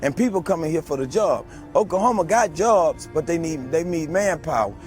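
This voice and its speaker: A man talks loosely and haltingly outdoors, stopping for fillers as he answers. His voice sits a little high for a man, and music plays clearly behind him. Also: animated, a little mellow. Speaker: interviewee. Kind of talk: casual interview answers.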